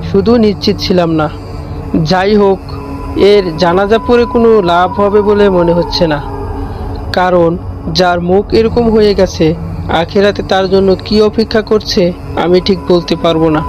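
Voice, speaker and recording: A man's voice: high-pitched, fairly even intonation, moderate pace, music in the background, lightly acted, poor audio. A man voicing a grave, wary village imam in a cartoon ghost story, speaking solemnly. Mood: grave, firm.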